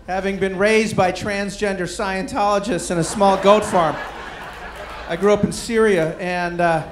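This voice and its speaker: A middle-aged man doing a stand-up comedy set on a club stage, deadpan and self-deprecating, with rambling asides. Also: sits high, measured pace. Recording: roomy live club with crowd noise. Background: audience murmur and laughter.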